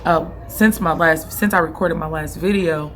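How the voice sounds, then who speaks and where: Hoarse woman giving blunt business advice. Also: low-pitched, normal speed, fairly bright tone, a little hiss or hum. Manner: direct and coaching, keeps checking in with "okay?". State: hoarse, losing her voice. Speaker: online boutique owner giving business tips. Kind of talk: casual vlog advice.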